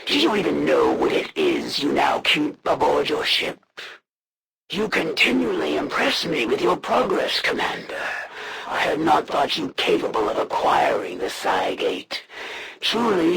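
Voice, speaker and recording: A man playing a proud, honor-bound alien assassin in a video game, coldly menacing, with formal, haughty, deliberate speech. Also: a little high, huge pitch swings, creaky, background noise, full character voice. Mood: grudging admiration. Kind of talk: scripted video game villain voice lines.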